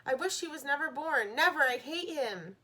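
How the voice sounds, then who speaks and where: A woman's voice: high voice, lively intonation, normal speed, pushed and bright, voice pushed up, low-quality recording. A woman voicing a young boy, an upset older brother who sounds indignant and pleading.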